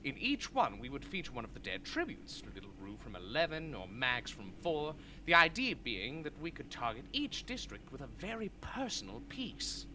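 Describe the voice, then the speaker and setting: A man playing a modest, eager creative assistant, expressive, in an amateur radio play. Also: medium pitch, moderate pace, few pauses, a little hiss or hum, full character voice, low-quality recording. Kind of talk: amateur radio drama acting.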